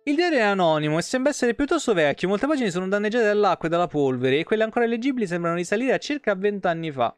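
A man reads game lore aloud on a live stream. He slips between narration and casual asides in an informal way. Soft plucked guitar music plays behind him. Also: high-pitched, big pitch swings, racing, few pauses, smooth, dry recording. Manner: informal, breaks off to comment. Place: indoor home streaming setup.

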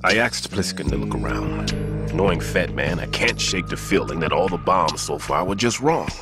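A man's voice: low-pitched, animated, normal speed, music in the background. A man voicing a grave, proud older bomb expert in a video game. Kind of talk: video game voice acting over radio call.

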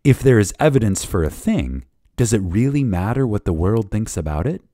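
A man reading a spiritual lecture dramatically, with reverence, emphasis and deliberate pauses. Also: lowish voice, big pitch swings, warm tone, no echo, clean studio sound. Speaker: reader of a lecture.

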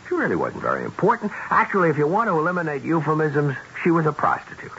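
An actor playing an earnest, insistent, principled police detective in a 1970s radio drama, speaking plainly. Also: male voice, a little high, sing-song, fast, sharp tone, heavily acted. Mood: impassioned. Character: dogged, principled police detective, a man standing up for victims. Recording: dull, hissy 1970s radio broadcast.

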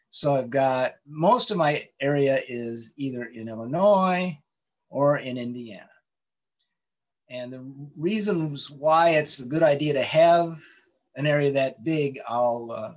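A man giving an informal online lecture over a video call, explaining things with many ums and restarts. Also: medium pitch. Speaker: presenter giving a webinar talk. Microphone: computer mic on a video call. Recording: dull lo-fi call audio.

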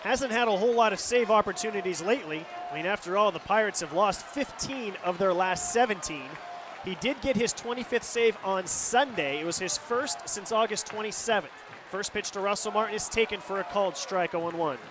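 A man's voice: high voice, brisk. An American man calling live baseball play-by-play on radio in a clipped, descriptive way, reciting stats. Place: stadium broadcast booth. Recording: loud stadium crowd noise. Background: ballpark crowd and stadium ambience.

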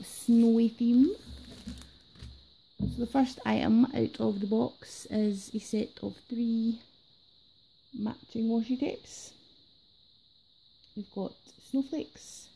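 A woman talking while she is sick, her voice gravelly and very dark. She chats cheerfully through an unboxing of craft items at a table. A faint hiss of background noise sits under her voice. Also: fairly high pitch, poor audio. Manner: chatty, enthusiastic about items. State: sick, voice affected. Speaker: planner unboxing vlogger. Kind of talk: casual unboxing chat. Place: indoors at a table. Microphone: camera filming her hands from above.

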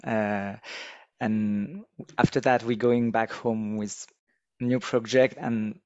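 A man's voice: lowish voice, steady tone, takes it slowly, a little mellow, no room sound, dull audio. A man in his thirties speaking hesitant, non-native English on a headset as a panel guest. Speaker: podcast guest. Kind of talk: panel discussion.

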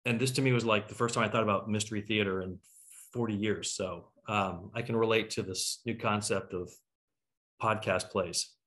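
A man's voice: on the low side, fairly even intonation, moderate pace, a bit bright. A middle-aged man from Canada talking casually and a little ramblingly as a panel host over a video call. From Toronto, Canada. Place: home room, on a video call.

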